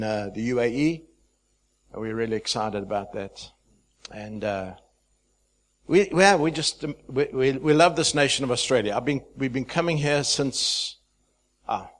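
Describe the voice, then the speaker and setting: A man in his fifties from Zimbabwe, a pastor speaking to a church, chatty and halting, with many fillers and restarts. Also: mid-range voice, fairly bright tone. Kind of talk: sermon introduction.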